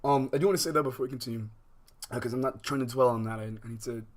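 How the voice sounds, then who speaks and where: Rambling man livestreaming casually. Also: medium pitch. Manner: slangy, rambling, halting. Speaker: livestream host. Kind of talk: casual livestream chat to viewers.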